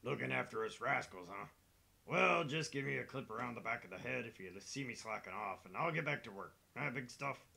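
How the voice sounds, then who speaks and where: A low, creaky-voiced monster hunter, acted by a man in a visual novel game. He is laddish and roguish, spinning his tales with theatrical relish. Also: animated, brisk, sharp tone, exaggerated voice. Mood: cheeky, friendly. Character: cheeky, laddish British monster hunter tour guide.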